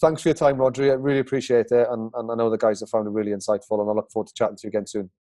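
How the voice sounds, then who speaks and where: A man's voice: fairly low pitch, steady tone, dark tone, dry recording, clean studio sound. A man hosting a podcast, chatting in an upbeat, encouraging way. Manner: upbeat, encouraging, quick talker. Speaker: podcast host. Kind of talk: casual interview chat.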